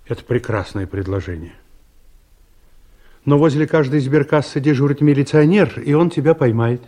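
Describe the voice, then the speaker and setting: A man's voice: mid-range voice, warm tone, natural voice. Radio play role for a male actor: older investigator, mild, pedantic, law-abiding, earnest, mid-range voice. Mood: earnest, reluctant. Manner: earnest, pedantic, unhurried explaining. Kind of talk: audio drama dialogue.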